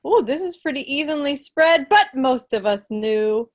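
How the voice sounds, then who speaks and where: A woman's voice: sits high, animated, normal speed. An American woman in her thirties hosting a quiz over a home video call, casual and playful like a mock schoolteacher. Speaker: meeting host, quizmaster. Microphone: computer mic on a video call.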